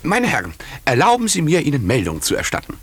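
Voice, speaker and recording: An actor in a radio play voicing a zealous, pedantic young investigator's assistant, excitable and self-important, reporting every detail precisely. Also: male voice, fairly high pitch, fast, breathy edge, heavily acted. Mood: formal, proud. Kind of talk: 1950s German radio play acting.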